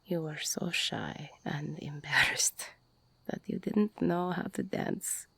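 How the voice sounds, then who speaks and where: A woman playing an older, tough ex-con girlfriend in an intimate audio roleplay, tender, teasing and insecure, confiding haltingly in a husky, half-whispered voice. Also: low voice, a bit bright, no echo, full character voice. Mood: tender, confessional. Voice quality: husky, gravelly, breathy.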